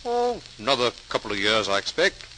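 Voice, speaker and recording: Patient older brother showing the ropes, old radio drama, bright lively voice, bit hissy. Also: male voice, medium pitch, a little fast, low-quality recording. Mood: patient, brisk. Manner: instructing, steady, practical. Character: patient, responsible older brother, potter teaching. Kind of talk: 1940s radio acting. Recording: hissy 1940s radio recording.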